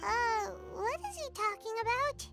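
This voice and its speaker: Little fairy sidekick, super high squeaky kid voice, slow and earnest, loud music behind. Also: female voice, animated, exaggerated voice. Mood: worried. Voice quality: squeaky, childlike. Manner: refers to self by name, earnest. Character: small childlike floating fairy companion, squeaky, earnest and worried. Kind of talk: video game voice acting.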